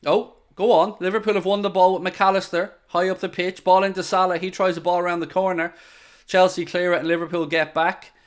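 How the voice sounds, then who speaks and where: A man's voice: sits high, fairly quick, clear, resonant tone. A man hosting a live football watchalong, calling the play and joking with his viewers. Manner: excitable, jokey, addresses viewers directly. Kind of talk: live match commentary.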